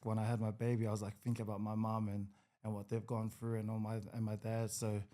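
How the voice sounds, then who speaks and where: A man in his twenties with a New Zealand accent, a podcast guest who talks in an easygoing, hesitant way with many pauses. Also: fairly low pitch, completely flat delivery, mellow. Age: 20s. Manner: easygoing, hesitant with many ums, laughs a lot. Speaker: guest, rugby league player. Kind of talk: casual podcast interview.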